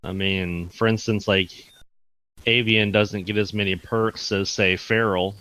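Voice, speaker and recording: A man's voice: fairly low pitch, fairly even intonation, measured pace, bright, forceful tone, no room sound. A man on a gaming podcast panel, explaining things in casual, somewhat hesitant talk. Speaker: podcast panelist and game staff member. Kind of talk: casual podcast chat about an online game.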